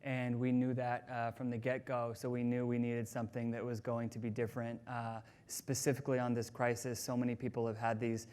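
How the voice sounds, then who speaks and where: A man with a clear, smooth voice at an average pitch co-presents at a conference. His delivery is informal, with little rise and fall. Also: monotone, brisk, few pauses, a little mellow. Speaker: UN agency staffer, conference co-presenter.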